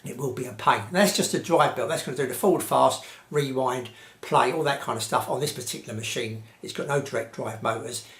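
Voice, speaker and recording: A man's voice: medium pitch, brisk, a bit bright. A man with an English accent giving a casual, unscripted how-to in a chatty, rambling, colloquial way. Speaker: presenter of hi-fi repair tips videos. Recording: roomy, echoey sound.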